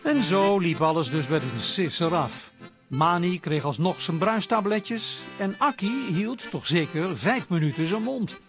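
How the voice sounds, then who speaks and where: Wry narrator, fairly high, animated, scripted radio narration, guitar music behind. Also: male voice, unhurried. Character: wry male storyteller closing the episode.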